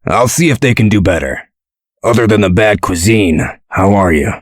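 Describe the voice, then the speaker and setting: A man playing a gruff, protective male character in a comic dub, speaking in a measured, dry, explanatory way. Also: on the low side, lively intonation, dry recording. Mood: dry, caring.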